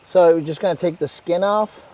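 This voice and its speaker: A man from Iran, a guest chef, calmly talking through dishes outdoors, step by step with a few fillers. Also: fairly high pitch, moderate pace. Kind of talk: casual cooking chat. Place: outdoors at a villa table.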